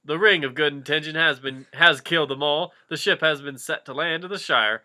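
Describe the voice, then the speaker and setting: Man playing bright-voiced pilot reporting in. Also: fairly high pitch, brisk. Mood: mock solemn. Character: male pilot reporting mission failure over radio.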